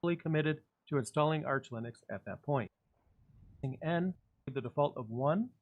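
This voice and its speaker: A man narrates a computer tutorial with calm, step-by-step instructions. His voice sits a touch higher than average. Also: moderate pace, phone-quality sound.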